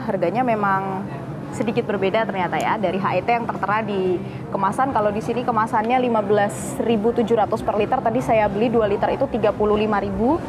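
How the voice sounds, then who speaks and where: A woman's voice: on the high side, noisy background. A woman working as a TV reporter, explaining things to viewers in a conversational, improvised on-location style.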